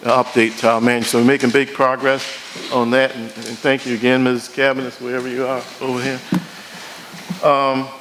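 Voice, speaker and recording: Male council member, mid-pitched, halting informal meeting report, some background noise. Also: little pitch movement. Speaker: city council member giving committee report. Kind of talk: spoken meeting report, loosely improvised.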